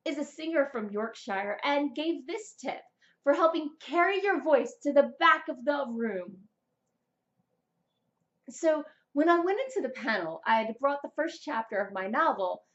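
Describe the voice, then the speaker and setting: A young adult woman presenting a scripted vlog of writing tips in an expressive, deliberate public-speaking manner. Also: high voice, dull audio. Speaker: vlogger, writer and amateur voice actor. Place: small plain indoor room, seated.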